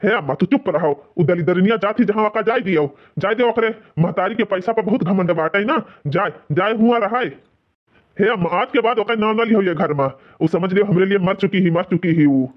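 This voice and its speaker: A man acts a hot-tempered, proud son in a village cartoon comedy. His voice is very high for a man, with an Awadhi accent and blustering delivery. The recording is dull and lo-fi. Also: brisk, close to an everyday voice. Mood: angry. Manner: indignant, blustering. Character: angry, proud adult son of a village family. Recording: dull, lo-fi sound.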